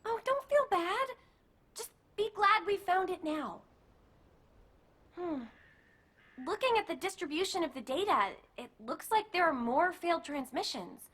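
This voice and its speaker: An actress voicing an eager, upbeat, energetic young female scout in a video game, with a bubbly manner. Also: extremely high pitch, measured pace, long pauses, exaggerated voice. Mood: helpful, upbeat.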